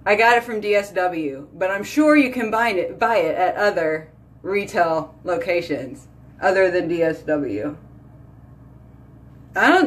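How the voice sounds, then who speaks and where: Brief: thirties woman, casual home beauty vlog, chatty and animated, phone mic at arm's length. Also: medium pitch. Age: 30s. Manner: chatty, animated, lots of "like" and "y'all". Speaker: beauty vlogger. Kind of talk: casual chat to camera. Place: small bedroom or bathroom at home. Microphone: phone camera at arm's length.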